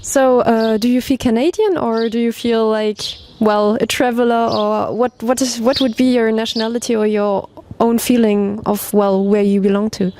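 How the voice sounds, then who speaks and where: A woman's voice: a little high, fairly even intonation, normal speed, few pauses, mellow. A woman interviewing a musician, asking questions with some hesitation and fillers. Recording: faint background noise.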